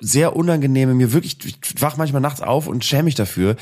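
A man co-hosting a casual podcast chat, hoarse today, talking in halting bursts with many fillers. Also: mid-range voice, animated, darkish tone. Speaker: podcast co-host.

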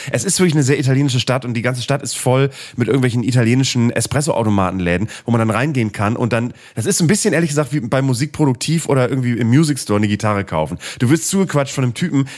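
A man's voice: medium pitch, a little mellow. A middle-aged man in casual podcast chat, telling rambling sarcastic stories and swearing casually. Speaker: podcast host. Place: Cologne.

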